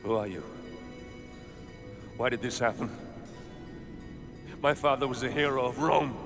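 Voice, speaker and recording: An actor playing a grim, vengeful Roman general, solemn and intense, in a video game cutscene. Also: male voice, mid-range voice, a little slow, close to an everyday voice. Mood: troubled. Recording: echoey, music behind.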